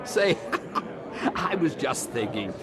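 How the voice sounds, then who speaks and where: An actor playing a hearty, blustering older brother in an 18th-century audio drama, with a husky voice and a condescending manner. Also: male voice, medium pitch, big pitch swings, slow pace, crowd noise. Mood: jovial, eager. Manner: chuckling, hearty, condescending, stammers when evasive.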